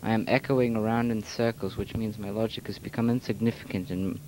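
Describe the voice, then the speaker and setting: A man delivering a philosophical monologue in an amateur audio drama, solemn and deliberate. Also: on the low side, fairly even intonation, dark tone, slight background noise, low-quality recording. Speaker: narrator of own story.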